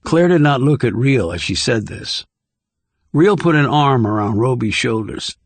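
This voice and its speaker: A man's voice: medium pitch, lively intonation, unhurried, no echo. A man reading scripted thriller narration as an audiobook narrator.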